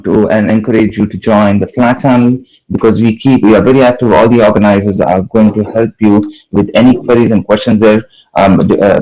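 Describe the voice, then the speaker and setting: Event organizer, man, lowish voice, halting announcements full of fillers. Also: a little mellow, low-quality recording. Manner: halting, many uh and um.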